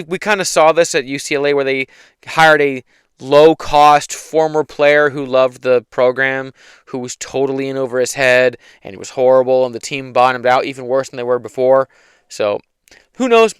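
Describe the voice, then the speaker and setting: A man hosting a casual solo sports talk show, hoarse from yelling, opinionated and rambling with frequent restarts. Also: mid-range voice, dry recording, clean studio sound. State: hoarse, losing his voice. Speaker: podcast host.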